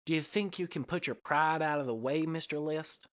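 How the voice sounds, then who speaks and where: A woman's voice: deep voice, normal speed, natural voice. A woman voicing a cocky, chatty, folksy male ace pilot in a space audio drama. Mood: breezy. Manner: breezy, boastful, folksy.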